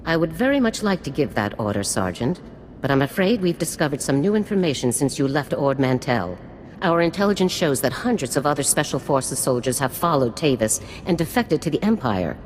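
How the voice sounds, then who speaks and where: A stern female military general from a video game, with a very low voice that moves expressively. She gives orders with formal, clipped authority in a space with long reverb. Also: big pitch swings, a little fast. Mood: grave, commanding. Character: stern, commanding female special forces general. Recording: long reverb with faint noise.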